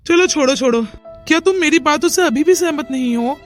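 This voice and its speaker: A performer as a high-voiced earnest young cartoon man. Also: a little fast, lightly acted. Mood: insistent, reassuring. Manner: insistent, reassuring. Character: earnest, persuasive young village man, Rohan. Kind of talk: Hindi cartoon moral-story dialogue. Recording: music behind the dialogue.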